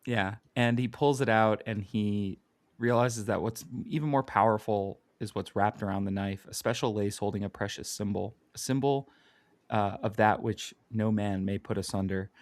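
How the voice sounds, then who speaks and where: A man's voice: lowish voice, steady tone, normal speed, dry recording. A man co-hosting a podcast, casually recapping and commenting on a comic book. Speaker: podcast co-host.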